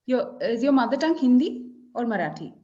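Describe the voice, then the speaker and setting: A woman's voice: fairly high pitch, sing-song, a little fast, mellow, dull audio. Indian woman teaching on a video call. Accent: Indian English. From Kerala, India. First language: Malayalam. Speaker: English conversation teacher. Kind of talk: casual tutoring chat.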